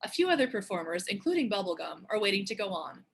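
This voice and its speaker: A woman's voice: medium pitch, sing-song, fast, slight background noise. A woman reading screenplay stage directions as scripted narration.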